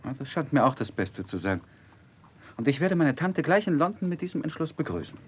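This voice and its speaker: An actor playing an earnest, polite young gentleman who tells his life story in a 1960s radio drama, with measured literary delivery. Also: fairly high pitch, big pitch swings, a little hiss or hum. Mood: earnest, concerned.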